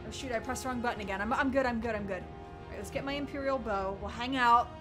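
Young woman, headset, fairly high voice, nervous gaming chatter, game music loud behind. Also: fast talker. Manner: jittery, excitable, swings from loud yelps to hushed muttering. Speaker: gamer. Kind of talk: let's play commentary. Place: small room indoors.

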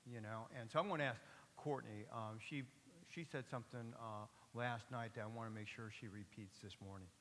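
A man's voice: mid-range voice, fairly bright tone. A man moderating a church panel, upbeat and joking as he puts questions to the guests. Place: church stage, large hall. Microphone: handheld mic. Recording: reverberant hall with faint hiss.

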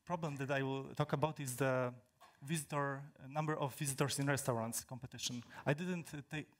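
A young man from Poland, a native Polish speaker, presents to a crowd from a stage in a big indoor hall. He talks into a handheld microphone at a middling pitch. He stops and restarts often and fills the gaps with "uh". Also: steady tone. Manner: halting, many fillers and restarts. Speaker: conference speaker. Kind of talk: technical talk to an audience.

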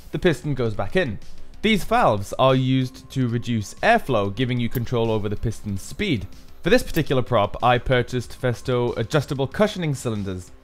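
A man giving a casual, explanatory how-to narration as a maker presenting his build. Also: mid-range voice. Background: background music.

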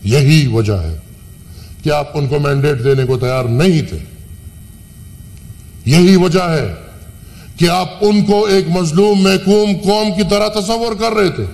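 Senator, male, impassioned rhetorical speech, slow and gravelly, lively high pitch, chamber reverb. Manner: impassioned, rhetorical, with long pauses. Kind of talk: parliamentary speech. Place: large parliament chamber. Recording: parliament broadcast with hall reverb.

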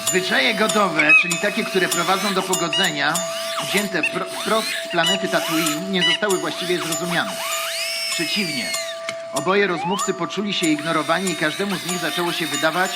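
An actor playing a pedantic, mock-solemn narrator who politely interjects in a comic children's radio play. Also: male voice, sits high, moderate pace, close to an everyday voice. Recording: loud music behind the voice.

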